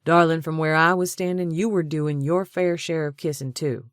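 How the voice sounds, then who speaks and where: A woman voicing a passionate grown man, a romantic hero who murmurs softly and snaps back hard when challenged. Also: fairly even intonation, moderate pace, clean tone, no room sound, studio quality, close to an everyday voice. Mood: smug. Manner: low murmured tenderness turning to heated retorts.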